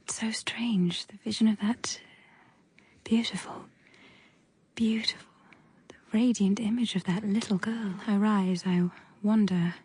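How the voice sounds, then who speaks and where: Slow, hushed young governess. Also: female voice, mid-range voice, fairly even intonation. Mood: hushed wonder. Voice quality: breathy, often whispering. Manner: hushed, halting, short poetic phrases. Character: uneasy, wonder-struck young governess narrating her thoughts. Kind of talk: literary audio drama monologue. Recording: faint music behind the voice.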